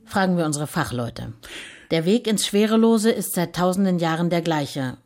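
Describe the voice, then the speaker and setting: An actress in a radio drama playing a solemn, poetic moderator of a fanciful distance course. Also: female voice, fairly low pitch, animated, normal speed. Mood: solemn, instructive. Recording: loud plucked guitar music underneath. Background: plucked guitar music.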